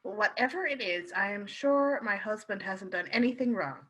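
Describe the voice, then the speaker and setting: An actress in a radio play playing a loyal, spirited and protective London wife, in a theatrical style. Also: female voice, mid-range voice, animated, moderate pace, a bit bright, low-quality recording. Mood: indignant, defensive.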